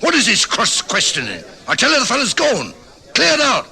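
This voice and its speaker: A blustering, stubborn married man in an old radio drama. His voice is high for a man and very forceful, with swooping, combative delivery. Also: wildly swooping pitch, normal speed, gravelly, heavily acted. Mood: hostile. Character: belligerent, blustering, stubborn husband.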